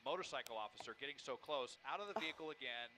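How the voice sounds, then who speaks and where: A man working as a helicopter news reporter, giving halting, improvised live commentary on a police pursuit. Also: medium pitch, background noise.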